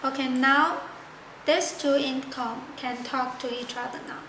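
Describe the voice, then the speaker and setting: A high-voiced woman demonstrates a product step by step. She speaks slowly in halting, simple non-native phrasing. The recording has clear room echo. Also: fairly even intonation. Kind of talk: step-by-step tutorial narration. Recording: echoey room with loud background noise.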